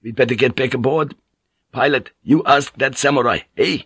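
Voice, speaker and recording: A man's voice: medium pitch, moderate pace, fairly bright tone, breathy edge, dry recording, voice pushed up. A man voicing a coarse, uneasy sailor in an audiobook story, blunt and exclamatory. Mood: angry.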